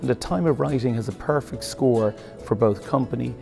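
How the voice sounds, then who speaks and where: Podcast host with music underneath. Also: male voice, medium pitch, creaky. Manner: scripted intro, then halting questions. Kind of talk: interview. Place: tech conference.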